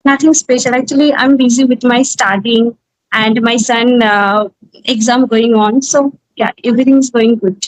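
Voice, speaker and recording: A woman's voice: a little high, steady tone, moderate pace, no room sound, rough recording. A woman from West Bengal with a Bengali Indian accent, practising conversation as an English learner in simple, non-fluent phrasing.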